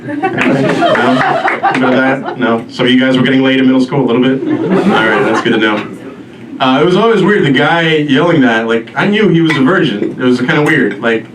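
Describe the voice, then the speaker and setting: A man from Albania doing a self-deprecating stand-up comedy set, with a deadpan, halting delivery. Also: mid-range voice. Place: live comedy show before an audience. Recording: roomy echo, distant mic, noisy live venue.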